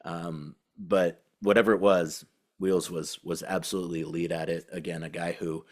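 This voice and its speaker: A man with a low-pitched voice talks as a sports podcast host. His delivery is casual and reflective, rambling along with halting phrases and many verbal fillers. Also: little pitch movement, darkish tone.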